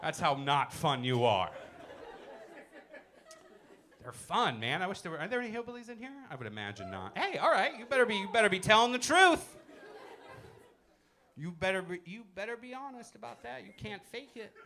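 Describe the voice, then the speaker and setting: A young man from Iran doing a brash, animated stand-up set in a comedy club and teasing the crowd on a handheld mic. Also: high voice, fairly quick. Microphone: handheld stage mic.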